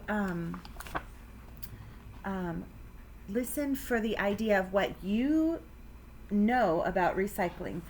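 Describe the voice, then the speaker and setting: A woman's voice: mid-range voice, a little hiss or hum. A woman teacher giving a read-aloud science lesson to children, with simple, instructive phrasing addressed to her pupils. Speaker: elementary school teacher.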